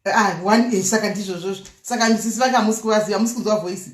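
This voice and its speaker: A woman's voice: medium pitch, rapid-fire. A Zimbabwean woman, a native Shona speaker, hosting a live prank-call show in casual chat that mixes languages. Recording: echoey room.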